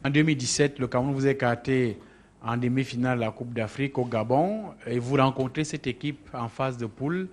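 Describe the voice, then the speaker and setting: A man's voice: medium pitch, fast. A man working as a journalist, putting a question at a press conference.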